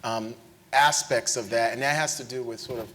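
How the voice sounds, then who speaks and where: Male speaker on a stage panel, lively storyteller, frequent restarts, stage mic. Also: mid-range voice, moderate pace, a little hiss or hum. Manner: animated storyteller, tag questions, frequent restarts. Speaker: panelist, historian. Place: lecture hall stage.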